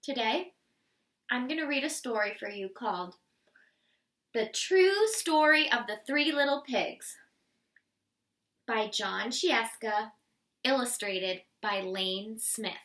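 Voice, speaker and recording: A woman's voice: on the high side, lively intonation, slow pace, pauses often, a bit bright, echoey room, far from the mic. A woman hosting a children's story read-aloud, introducing a book as a school story reader at home.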